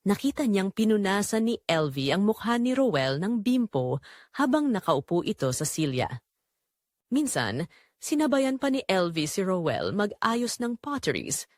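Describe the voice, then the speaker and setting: A woman narrates a scripted audio drama at a medium pitch that moves with a lot of expression. She delivers it in short, measured phrases that build suspense. The recording is dry, with very little room sound. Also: no echo.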